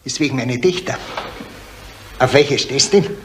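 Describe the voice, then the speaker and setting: A man's voice: medium pitch, moderate pace, reverberant, lightly acted. An actor playing a violent, hot-tempered village innkeeper and father who taunts and bullies in a German radio crime drama. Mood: taunting, menacing. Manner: taunting, shouting questions. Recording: noisy 1970s radio play recording.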